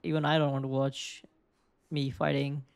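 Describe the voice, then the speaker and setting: Gravelly young man rambling over gameplay. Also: mid-range voice, level tone, moderate pace. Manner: rambling, thinks aloud with many "like" fillers. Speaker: gaming video creator. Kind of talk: casual let's play commentary.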